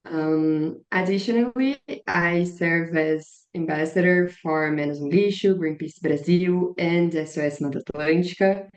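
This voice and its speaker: A woman in her twenties with a Brazilian Portuguese accent speaks English slowly and earnestly, in a low voice with a slight strain. Her words sound measured and prepared. The audio is roomy and a little dull. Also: darkish tone. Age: 20s. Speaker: guest, biologist and climate activist. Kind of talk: podcast interview answers. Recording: echoey room, dull lo-fi sound.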